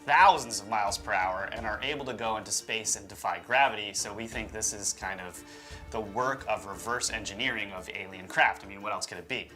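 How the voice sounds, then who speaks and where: Casting: male co-host, informal and opinionated commentary, forceful bright voice, light music underneath. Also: on the low side, a little fast. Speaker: co-host of a UFO show. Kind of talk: conversational commentary. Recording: music behind the voice. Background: background music.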